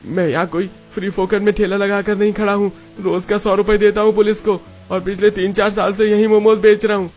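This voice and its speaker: Guy playing a poor honest momo seller in a cartoon, highish voice, earnest and pleading, music behind. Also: level tone, a little fast, hardly any pauses, a little mellow, lightly acted. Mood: indignant. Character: poor, humble, honest male momo street vendor. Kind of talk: Hindi cartoon story dialogue. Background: background music.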